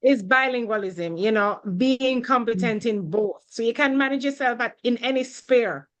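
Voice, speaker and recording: A woman with a somewhat high voice presents on a webinar. She talks in a measured, explanatory way over a video call. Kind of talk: answering questions on a video call.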